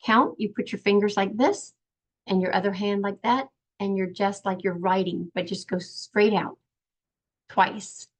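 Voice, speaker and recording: An older woman patiently teaching young children in simple, step-by-step phrases, in the gentle style of a preschool lesson. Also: mid-range voice, steady tone, a little slow. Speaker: children's sign language and song teacher.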